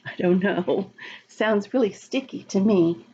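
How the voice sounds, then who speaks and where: A woman's voice: medium pitch, unhurried, dark tone, lo-fi sound, slight background noise. A woman reading a storybook aloud to young children, talking to them directly and expressively, drawing out words. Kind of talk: read-aloud to young children, chatting and asking questions.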